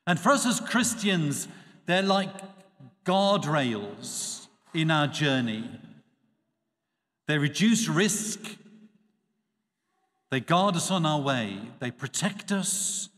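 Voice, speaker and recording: A man, a priest giving a measured, expressive Sunday homily at a church lectern. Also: on the high side. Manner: measured, expressive preaching with dropping phrase ends. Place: church sanctuary. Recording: reverberant church acoustics.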